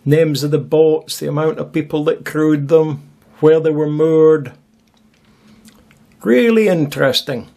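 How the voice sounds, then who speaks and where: A man's voice: on the high side, unhurried, creaky, long pauses, mellow. A man narrating his own seaside video in a casual, chatty way with wry asides. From Scotland. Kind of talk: casual voice-over commentary.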